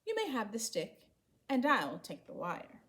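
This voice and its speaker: A woman's voice: on the high side, wildly swooping pitch, a little slow, a bit bright, pitched above the performer's own voice, full character voice. A woman voicing an eager, resourceful young village boy in a storybook, earnest and explaining. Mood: friendly, clever.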